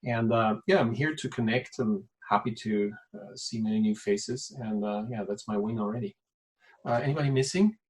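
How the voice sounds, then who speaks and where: A man's voice: fairly low pitch, moderate pace. Chatty Austrian man on a video call. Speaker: change facilitator. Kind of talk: casual self-introduction.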